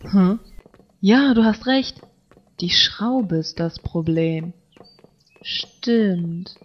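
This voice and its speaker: Woman acting slow, fussy teenage boy. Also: mid-range voice, lively intonation, dull audio. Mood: pensive. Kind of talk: anime fan audio drama acting.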